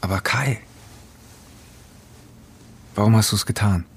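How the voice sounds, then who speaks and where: A man's voice: fairly low pitch, a little slow, creaky, breathy edge, exaggerated voice. A man playing an earnest, insistent character in a German podcast drama, talking in an urgent, confrontational way. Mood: accusing, insistent. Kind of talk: German fiction podcast acting.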